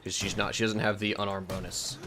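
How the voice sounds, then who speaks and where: A man's voice: fairly low pitch, little pitch movement, a little fast, music in the background. Casual man demonstrating a game mod. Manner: explains step by step, thinking aloud. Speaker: mod showcase presenter. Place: indoors at home, voice-over on gameplay.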